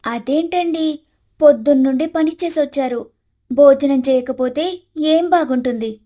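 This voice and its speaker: A woman's voice: sits high, measured pace, mellow. A woman voicing a spiteful young daughter-in-law in a cartoon story, curt and defiant. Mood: pushy.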